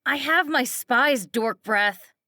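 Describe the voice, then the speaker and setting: A woman's voice: sits high, takes it slowly, bright, forceful tone, dry recording, clean studio sound, voice pushed up, exaggerated voice. A woman voicing a foul-mouthed, jealous, bullying mother on drugs, ranting in slang for an audiobook. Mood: scornful lecturing. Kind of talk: audiobook character acting.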